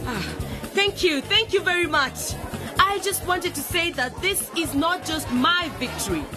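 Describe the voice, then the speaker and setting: A determined young newly elected councillor in a radio drama. Her very high voice delivers an emphatic public address to supporters, with music and a loud crowd behind her. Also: unhurried. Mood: rallying, confident. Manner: emphatic, declamatory public speaking. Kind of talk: radio drama acting, rallying speech. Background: music and cheering crowd.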